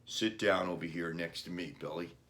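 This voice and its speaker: A man plays an apologetic dad in a children's storybook. The voice is deep and earnest, patiently explaining to a child. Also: normal speed, a bit bright. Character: apologetic, earnest father of young boy.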